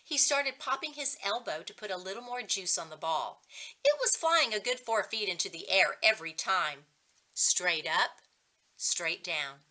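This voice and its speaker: A woman reading a children's story aloud as a reading coach, in expressive narration. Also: mid-range voice, unhurried.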